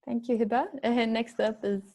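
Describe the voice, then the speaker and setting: A young woman from Sweden leads a video call panel, her voice carrying a gravelly fry. She speaks haltingly and feels her way through introductions. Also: a little high, level tone, warm tone. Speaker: panel moderator, medical student.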